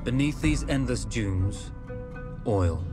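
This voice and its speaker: Low-voiced solemn cinematic narrator. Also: male voice, expressive, takes it slowly. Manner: solemn, dramatic storytelling. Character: solemn storyteller of a desert war. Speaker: narrator of a war story game. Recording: loud music behind.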